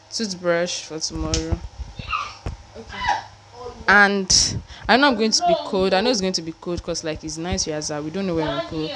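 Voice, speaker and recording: Young female student vlogger, Nigerian accent, chatty and repetitive, on the low side, clearly noisy background. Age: young adult. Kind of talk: casual vlog chat. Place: small room at home. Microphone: small clip-on mic held near mouth.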